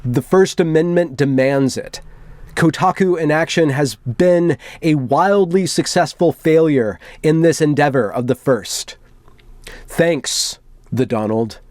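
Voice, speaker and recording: A man's voice: fairly high pitch, slow, darkish tone, dry recording, studio quality. A man voicing an aggrieved, self-important forum poster, reading his manifesto in a declamatory, theatrical way for an online video. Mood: solemn, ominous.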